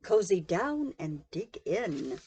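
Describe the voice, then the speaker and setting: A woman's voice: lowish voice, big pitch swings, slow, darkish tone, slightly rough, heavily acted. A woman voicing a friendly, generous male badger in a read-aloud storybook, cheery. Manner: cheery and sniffing. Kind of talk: storybook character voice.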